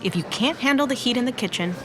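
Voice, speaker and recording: A woman's voice: fairly high pitch, expressive, fast, noisy background, close to an everyday voice. Earnest, resolute woman restaurant owner played by an actress. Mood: resolute, encouraging. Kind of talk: naturalistic audio drama acting.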